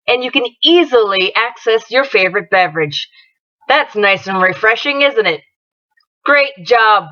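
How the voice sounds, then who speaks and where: A woman's voice: on the high side, dry recording, lo-fi sound. A woman narrating a scripted parody ad in a mock-cheerful infomercial style.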